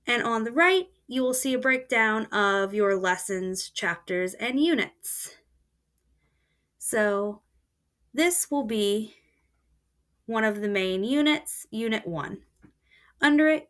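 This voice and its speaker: A woman giving a step-by-step voice-over tutorial of a language learning website. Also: fairly high pitch. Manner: steady explanatory voice-over. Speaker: student presenter. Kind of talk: screen-recorded tutorial walkthrough.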